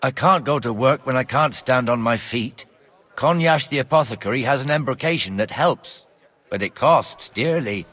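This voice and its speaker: A man's voice: medium pitch, moderate pace, a bit bright, breathy edge. A man acting an ailing town scribe in a video game, grumbling and plaintive.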